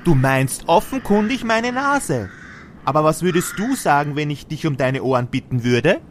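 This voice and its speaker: A man's voice: on the high side, very animated, normal speed, a little hiss or hum. A man playing a friendly, naive snowman in a fairy-tale radio play, with earnest, formal phrasing. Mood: politely miffed.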